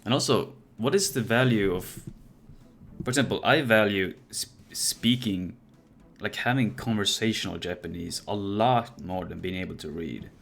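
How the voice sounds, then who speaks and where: A man's voice: fairly low pitch, normal speed, gravelly, fairly bright tone. A young man, a university student, chatting casually as host, rambling and restarting as he thinks aloud. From Sweden. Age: young adult. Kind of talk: casual chat.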